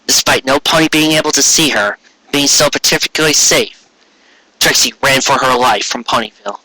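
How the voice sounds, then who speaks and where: A man narrates a fantasy story in an amateur read-aloud style. His voice is very bright and somewhat raspy, recorded close and dry. He trips on words and corrects himself as he goes. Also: mid-range voice, moderate pace, dry recording, low-quality recording. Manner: stumbling over words, self-correcting. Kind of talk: reading fan fiction aloud, narration.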